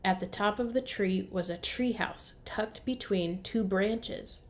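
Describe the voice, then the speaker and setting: A woman teacher reads a children's storybook aloud at a slow, measured pace. Her pitch sits in the middle for a woman, and she phrases things simply for small listeners. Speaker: first grade teacher.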